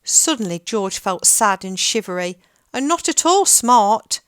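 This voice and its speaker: A woman reading a children's picture book aloud in a gentle, expressive storytelling manner. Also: mid-range voice, unhurried, smooth, no room sound, studio quality.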